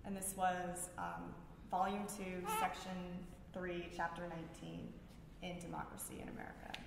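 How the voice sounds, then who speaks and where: A woman's voice: fairly low pitch, little pitch movement, moderate pace. A woman reading prepared texts aloud at a public event, sometimes stumbling over words and restarting. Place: large reverberant room at a library event. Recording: very echoey hall with background noise.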